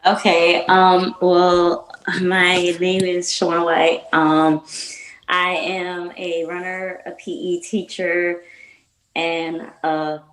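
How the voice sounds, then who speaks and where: Upbeat woman, lowish voice. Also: flat delivery, takes it slowly, fairly bright tone, rough recording. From Atlanta, Georgia. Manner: friendly, upbeat. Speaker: guest, marathon runner and PE teacher. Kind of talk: casual interview answers. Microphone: video call from home.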